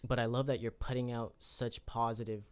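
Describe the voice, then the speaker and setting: Male podcast host, encouraging and appreciative, mid-pitched, motivational conversational delivery. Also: level tone, brisk, clean tone. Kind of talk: casual motivational interview talk.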